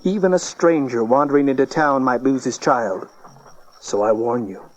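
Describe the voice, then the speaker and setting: A man's voice: medium pitch, moderate pace, close to an everyday voice, poor audio. An actor playing a wary, blunt townsman in an audio Bible drama, speaking urgently and nervously. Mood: urgent warning.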